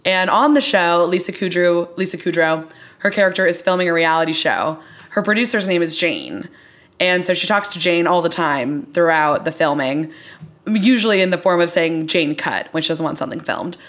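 A woman's voice: on the low side, normal speed, fairly bright tone. A young American woman hosting a podcast in casual, conversational chat with playfully formal turns of phrase. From Florida, US. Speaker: podcast host. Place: in a studio.

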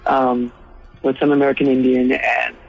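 A man's voice: mid-range voice, little pitch movement, moderate pace, gravelly, lo-fi sound, faint music behind. A man from Hawaii, a rugby coach being interviewed, explaining things in an easygoing, rambling way. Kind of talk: casual interview talk.

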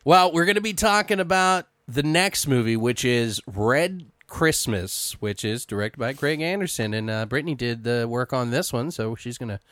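A man's voice: medium pitch, animated, normal speed, hardly any pauses, a bit bright, no echo, clean studio sound. A man co-hosting a casual movie podcast, joking and swearing casually. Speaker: podcast co-host. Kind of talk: casual chat.

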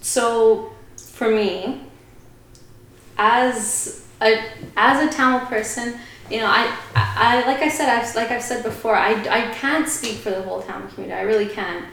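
A woman's voice: on the high side, unhurried, creaky, fairly bright tone, a little hiss or hum. A woman speaking as a podcast guest, giving earnest, reflective opinions in conversation. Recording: very echoey room.

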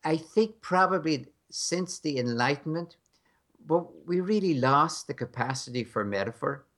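A man's voice: on the high side, expressive, normal speed, a bit bright. An American man talking as a guest in an interview, frank and emphatic with a wry edge. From United States. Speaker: guest scholar. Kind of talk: conversational interview.

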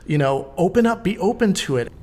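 A man's voice: sits high, expressive, brisk, darkish tone, a little hiss or hum. A man co-hosting a podcast, speaking emphatically in a casual panel discussion. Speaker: guest co-host on a theater podcast.

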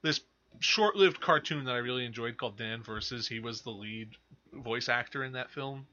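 A man's voice: mid-range voice, normal speed, sharp tone, highs cut off. A man chatting casually about films as a podcast co-host. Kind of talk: casual film discussion.

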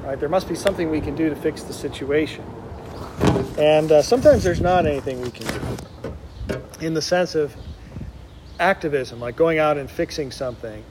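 A middle-aged man from Wisconsin, a Benedictine monk, gives an informal conference to a lay community. His speech is unpolished and friendly, scattered with fillers, and his voice sits slightly high for a man. A faint background noise runs under the recording. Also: moderate pace, long pauses. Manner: conversational, with frequent ums and uhs. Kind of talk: informal spiritual talk.

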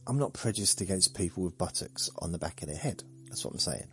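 A man hosting a sleep podcast, talking slowly in a meandering, deadpan, joking way with faint music behind. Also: deep voice. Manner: slow, meandering, deadpan humor. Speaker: podcast host. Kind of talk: rambling sleepy monologue.

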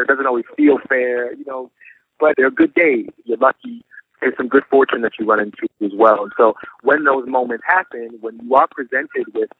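A man's voice: mid-range voice, dry recording. Man telling stories over a tinny line. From New York, raised in Philadelphia. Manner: thoughtful, unhurried storytelling with small restarts. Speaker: guest actor and singer. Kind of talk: reflective interview answers.

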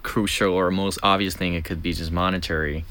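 A man's voice: low-pitched, little pitch movement, fairly quick, a bit bright. A man hosting a casual interview, friendly and chatty, backing up his guest with frequent short replies and asking loose, rambling questions. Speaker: interviewer, podcast host.

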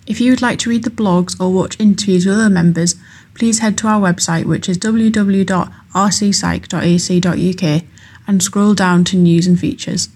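A woman podcast host reading a scripted outro in a polite, clear announcing manner. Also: lowish voice, fairly even intonation, moderate pace, darkish tone.

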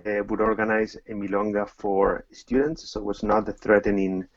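A middle-aged Argentine man answers interview questions in a low voice, pausing often and restarting his sentences. His audio sounds dry and slightly dull, like a lo-fi remote connection. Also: level tone, dry recording. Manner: hesitant, many fillers and restarts. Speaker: tango teacher, guest.

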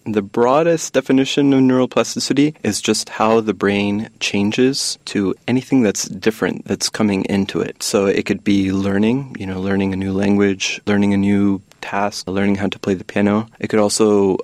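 A young man from the United States speaks in a low, friendly voice. He explains things at an easy pace and laughs often, recorded dry and close to the mic. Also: steady tone, moderate pace, hardly any pauses, a little mellow, dry recording. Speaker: guest, graduate student. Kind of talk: casual podcast chat.

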